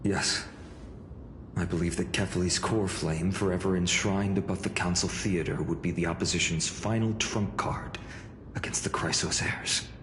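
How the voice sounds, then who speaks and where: An actor playing an earnest, polite young male leader in a game, speaking calmly and courteously. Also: deep voice, moderate pace, a little hiss or hum. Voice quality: calm, courteous. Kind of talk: game voice acting. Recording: roomy echo, music behind.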